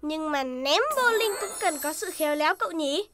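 A woman's voice: high-pitched, expressive, moderate pace, over loud music. A woman voicing a small, cheerful, eager kid in a children's cartoon, good-natured and playful. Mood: friendly eager.

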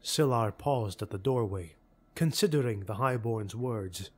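A man's voice: fairly low pitch, unhurried, breathy edge. A man reading scripted, dramatic fantasy narration as an audiobook narrator. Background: music.

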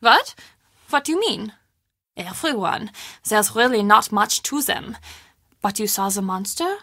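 A woman's voice: medium pitch, very animated, measured pace, pushed and bright, dry recording, clean studio sound, exaggerated voice. A woman acting a theatrical castle woman in scripted mystery game dialogue, with an emphatic, dramatic delivery. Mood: worried, earnest. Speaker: voice actor in a mystery adventure game.

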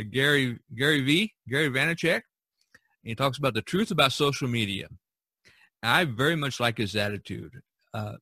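Husky, bright American man hosting a podcast. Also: mid-range voice, dry recording. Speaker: podcast host.

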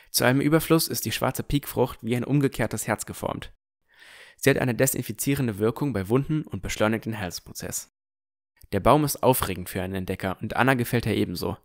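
Man playing an earnest young explorer, low-voiced. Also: vocal fry, no room sound, clean studio sound. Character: boy narrator Noel, earnest, curious would-be explorer. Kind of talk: scripted first-person audio drama narration.

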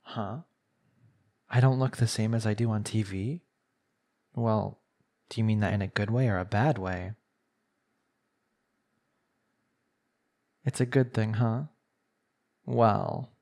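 A man's voice: fairly low pitch, creaky, darkish tone. A man playing a smug, bratty villain who flirts with his captive in an intimate, condescending ASMR roleplay. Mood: vain, amused. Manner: teasing, condescending, unhurried pet-name sweet talk.

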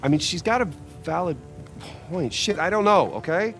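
Gravelly, wisecracking treasure hunter. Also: male voice, sits high, expressive, moderate pace, fairly bright tone, faint music behind. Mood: flustered. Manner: sardonic, flustered. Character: wisecracking, exasperated middle-aged male treasure hunter. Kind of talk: cinematic video game acting.

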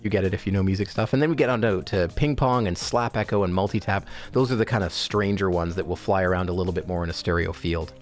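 A man narrating a software tutorial in a casual, informal, explanatory way. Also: sits low, fast talker, hardly any pauses, soft background music. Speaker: product tutorial presenter.

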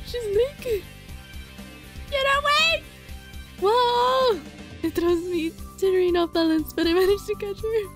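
A woman reading a visual novel aloud as a let's play, dramatic and playful, in a giggly, flustered, excitable manner. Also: very high voice. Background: music.

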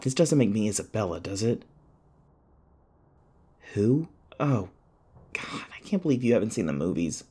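Man acting nervy sarcastic college guy in an audio roleplay, low voice w lots of fry, rambles and stammers. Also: darkish tone, full character voice. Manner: flustered, halting, wry chatter. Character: anxious, rambling, sarcastic young male college student, human. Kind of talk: audio roleplay acting, one-sided dialogue.

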